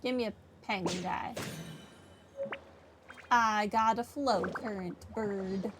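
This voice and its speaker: A woman's voice: medium pitch, takes it slowly, background noise. A woman giving casual gameplay commentary, talking to herself and to the characters on screen. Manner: talks to herself and to game characters. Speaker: gaming streamer.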